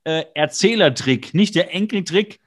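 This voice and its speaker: A man's voice: high voice, animated, normal speed. A man co-hosting a podcast, retelling a TV episode in casual chat with playful, mock-serious asides. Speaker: podcast co-host.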